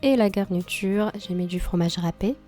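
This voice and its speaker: A woman with a slightly hoarse voice from a cold talks through a recipe in a calm, mellow tone. She explains each step plainly, with the odd small filler. Soft music plays behind her. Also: mid-range voice, moderate pace. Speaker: cooking channel host. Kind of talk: cooking tutorial voice-over.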